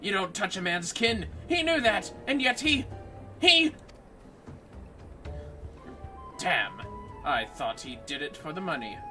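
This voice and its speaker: A man's voice: sits high, huge pitch swings, normal speed, sharp tone, soft background music, voice pushed up, exaggerated voice. A man voicing an agitated, guilty archer in a game, indignant and faltering as he is questioned. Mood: anguished.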